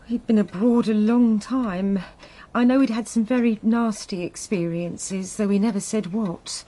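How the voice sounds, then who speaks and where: An actress playing an evasive working-class English woman posing as a bereaved sister in a radio drama, fussy, halting and over-apologetic. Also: mid-range voice, warm tone, natural voice. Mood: mournful, flustered.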